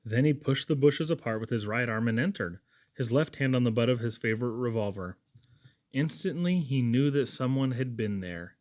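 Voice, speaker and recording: A man's voice: mid-range voice, lively intonation, moderate pace, darkish tone. A man reading a novel aloud as scripted audiobook narration. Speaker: audiobook reader.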